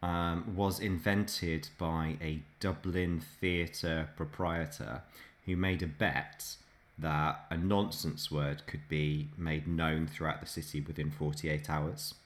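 Man, very low voice, dry teasing, podcast co-host, trivia asides. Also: level tone, slow. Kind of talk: casual podcast chat with history trivia.

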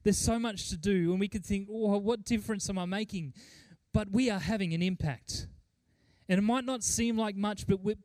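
High-pitched male pastor preaching earnestly. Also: darkish tone, no room sound. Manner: earnest, emphatic, with deliberate pauses and repetition. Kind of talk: sermon with mission report and scripture reading.

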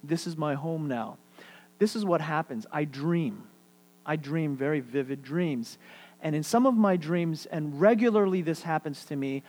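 New guy preaching, kinda high voice, goes real quiet to make a point, little hum behind. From New York City. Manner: earnest, repetitive, drops to near-whisper for emphasis. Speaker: pastor. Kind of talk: sermon.

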